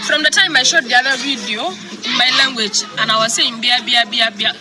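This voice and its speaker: A woman's voice: on the high side, brisk, over loud music, over a noisy crowd, poor audio. A woman from Sudan, chatting casually to her followers in a vlog. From South Sudan.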